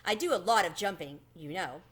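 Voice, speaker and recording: A woman's voice: on the low side, expressive, a little fast, slightly rough. A woman voicing a polite, modest male country cricket in a children's storybook, earnestly telling his adventure. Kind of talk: children's storybook read-aloud.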